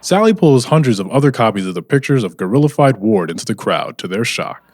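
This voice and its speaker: A man's voice: medium pitch, sing-song, fast talker, a little mellow. A man playing a showy sixties radio narrator and announcer. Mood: dramatic. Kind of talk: old-time radio narration.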